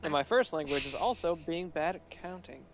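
A man's voice: on the high side, animated, brisk, faint music behind. A young man playing an online game with friends, making dry sarcastic jokes. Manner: sarcastic, mock-fatherly teasing. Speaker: gamer. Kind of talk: casual gaming chat with friends.

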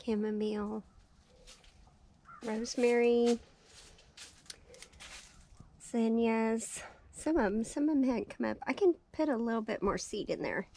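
Tired, raspy woman chatting slowly. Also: a little high, mellow, slight background noise. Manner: chatty, cheerful, thinking aloud. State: tired, raspy from lack of sleep. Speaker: farm vlogger. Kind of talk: casual walkthrough narration. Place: small greenhouse, indoors.

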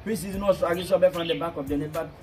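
A man's voice: on the high side, steady tone, very fast. A young Ghanaian man co-hosting a football show, commenting casually. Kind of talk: casual sports commentary, mixing English with a local language. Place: small bare indoor room, seated at a table behind a laptop. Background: music.

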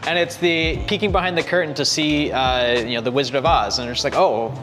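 A man in his thirties, a bike shop owner, chatting casually at a table in his shop, with quick asides and self-corrections. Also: on the high side, fairly bright tone, big-room sound, soft background music. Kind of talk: casual sit-down conversation.

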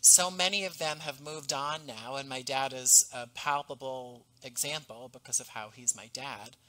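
A man in his thirties talks through a headset microphone on a video call. He sounds thoughtful and earnest, choosing his words with care. His voice has a bright, forward edge. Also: medium pitch, moderate pace, poor audio. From US East Coast. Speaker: podcast creator and guest. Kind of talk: reflective conversational interview. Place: home room, on a video call. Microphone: headset boom mic.

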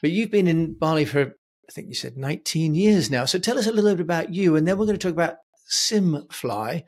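A man in his sixties hosting an interview over a video call from his home office, with a friendly manner that stretches key words for emphasis. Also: fairly high pitch. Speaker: podcast host. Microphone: headset and boom mic.

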